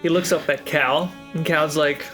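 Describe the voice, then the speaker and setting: A man narrating a tabletop role-playing game as game master, describing scenes in a slow, measured way with occasional fillers. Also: a little high, steady tone, a bit bright, soft background music.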